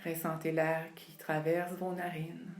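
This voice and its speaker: A woman leading a guided yoga relaxation, speaking calmly and soothingly with long pauses between phrases. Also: low voice. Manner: calm, unhurried, soothing delivery with long pauses. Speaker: yoga nidra instructor. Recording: roomy, echoing sound.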